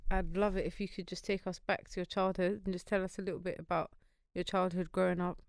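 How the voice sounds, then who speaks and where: A woman's voice: on the low side, flat delivery, quick pace, gravelly, dry recording. A young woman hosting a podcast, chatty and encouraging, using slang as she interviews her guest. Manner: chatty and encouraging, uses slang like "wicked" and "sick". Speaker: podcast host. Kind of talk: casual interview chat.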